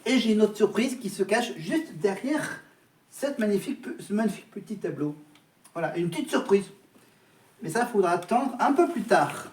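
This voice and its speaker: A man's voice: high voice, expressive, normal speed, distant mic. A man vlogging in casual chat to his viewers, hesitant and often restarting his words. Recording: echoey room, voice from off mic.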